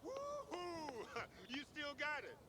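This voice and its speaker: An actor playing a seasoned middle-aged wasteland wanderer in a video game, a brisk, teasing, unsentimental mentor. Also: male voice, very high voice, huge pitch swings, heavy background noise, exaggerated voice. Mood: playful, encouraging. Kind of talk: video game cutscene acting.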